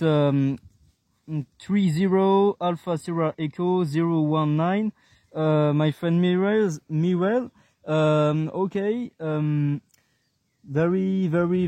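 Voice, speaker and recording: A Frenchman with a high, soft-toned voice makes radio contacts. He has a French accent. He speaks haltingly and often repeats words as he passes his messages. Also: dry recording. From France. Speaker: amateur CB radio operator.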